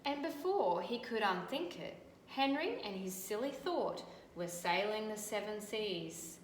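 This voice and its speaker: An Australian woman teacher reading a picture book aloud and gently pointing out details in the pictures. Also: mid-range voice, animated, unhurried. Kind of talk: storybook read-aloud with commentary on pictures. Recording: echoey room, faint background noise.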